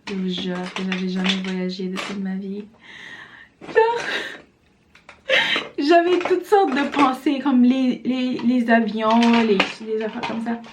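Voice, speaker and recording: A woman's voice: fairly high pitch, takes it slowly, pauses often, background noise. A young woman from Senegal, chatting casually to camera as she does her makeup at home, with loose talk full of fillers. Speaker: vlogger. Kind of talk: casual chat while doing makeup.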